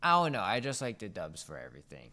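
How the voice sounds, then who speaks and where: A young man co-hosting a casual gaming podcast, hesitant and low-key with dry joking. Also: big pitch swings, fast talker, a loud crowd. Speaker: podcast co-host. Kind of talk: casual podcast chat about a video game.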